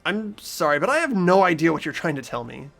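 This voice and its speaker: A lead player narrates a game story in an expressive, animated way, sliding easily into casual banter. The voice is medium in pitch with lively intonation. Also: brisk, creaky.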